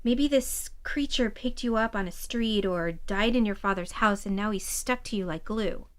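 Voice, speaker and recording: A woman's voice: mid-range voice, normal speed, lightly acted. An actress playing an earnest, caring young woman, a hesitant but practical friend, in an audio drama. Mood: concerned, hesitant.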